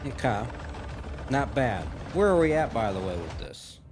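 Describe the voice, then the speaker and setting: A man giving casual, offhand reaction commentary as a host, blunt and quick to swear. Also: mid-range voice, very animated. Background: game cutscene audio with music.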